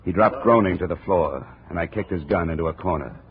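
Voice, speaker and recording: An actor playing a wry, hard-boiled insurance investigator in a 1950 radio detective drama, deadpan and wisecracking. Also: male voice, deep voice, brisk, close to an everyday voice. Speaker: narrator and lead actor. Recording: tinny, noisy old radio broadcast.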